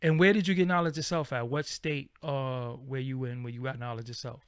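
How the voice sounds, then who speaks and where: A man's voice: medium pitch, fairly even intonation, a little fast, fairly bright tone, clean tone, dry recording, clean studio sound. A man hosting a live-stream interview, asking his guest questions in a casual way. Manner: casual, asks questions with many fillers and restarts. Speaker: host.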